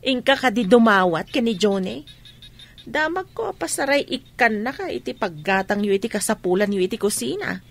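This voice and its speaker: Cheeky gossip, fairly high voice. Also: female voice, lively intonation, moderate pace, creaky. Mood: nosy, jovial. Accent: Ilocano. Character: nosy, cheeky village gossip woman. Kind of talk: Ilocano radio drama acting.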